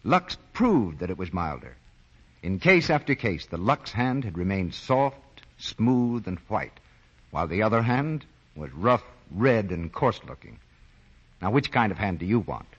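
Male 1940s radio pitchman, persuasive, lively intonation, muffled broadcast sound. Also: a little slow, breathy edge. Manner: polished, persuasive. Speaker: radio announcer. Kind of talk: 1940s radio commercial announcing.

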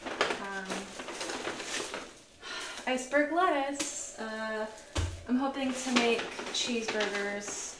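A woman vlogger chatting casually through a grocery haul, relaxed and full of fillers, sounding tired. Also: on the high side, slow, pauses often. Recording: echoey room with noise.

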